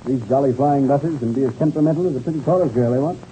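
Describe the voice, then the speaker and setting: An actor playing a jolly, hearty English squadron leader with an English accent and jaunty upper-class slang in a 1930s radio serial. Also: male voice, medium pitch, racing, dark tone, dull audio, close to an everyday voice. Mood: jovial. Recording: faintly hissy old radio recording.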